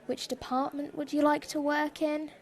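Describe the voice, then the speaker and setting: Brisk, exasperated interviewer played by a woman, fairly high voice, formal and curt, fan audio drama. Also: flat delivery. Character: strict, brisk, unimpressed female department store interviewer.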